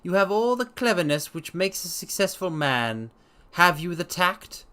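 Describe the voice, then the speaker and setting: A man voicing a blustery, bustling gentleman investor who talks bluntly and eagerly in a dramatic story reading. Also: sits high, very animated, unhurried, clear, resonant tone, voice pushed up, exaggerated voice. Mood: brisk, pushy. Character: blustery, pushy gentleman investor with money to spend.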